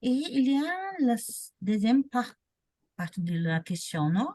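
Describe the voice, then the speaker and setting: Brazilian woman, portuguese speaker, slow careful french, lowish voice, dry sound. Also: big pitch swings, mellow, no room sound. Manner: slow, hesitant, restarts words. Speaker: participant. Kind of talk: casual language practice chat.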